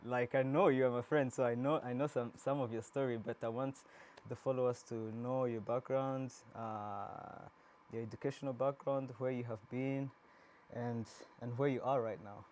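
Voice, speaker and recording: A man's voice: mid-range voice, fairly even intonation, normal speed, darkish tone, slight background noise. A man from Rwanda hosting an interview, asking loose, rambling questions with frequent restarts. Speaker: interview host. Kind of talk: casual interview.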